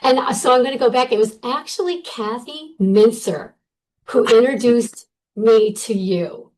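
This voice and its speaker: A woman's voice: on the high side, measured pace, darkish tone, low-quality recording. A woman guest on a video call who tells her story in a bubbly, appreciative way. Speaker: summit guest speaker.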